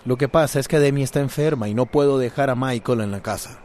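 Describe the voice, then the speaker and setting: A man's voice: mid-range voice, fast, a little mellow, lightly acted. A man with a Costa Rican accent playing a cheeky, coaxing, good-humoured friend in a radio drama. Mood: amused, explaining. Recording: noisy, dog sounds behind.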